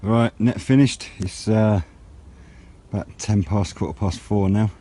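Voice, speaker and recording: British male hobby vlogger, lowish voice, unhurried and low-key, recorded outside over clear background noise and vehicles. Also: warm tone. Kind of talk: casual outdoor vlog chat. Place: outdoors on wooded high ground by a road. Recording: outdoor noise with passing vehicles.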